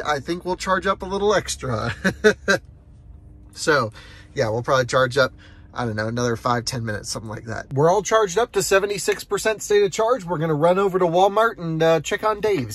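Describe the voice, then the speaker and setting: A man's voice: a little high, expressive, normal speed. A man in his thirties hosting a casual road trip vlog, chatty and upbeat, thinking aloud while driving. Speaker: channel host. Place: inside a car on a highway, windy outdoors at stops. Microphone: handheld phone camera. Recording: loud road and wind noise.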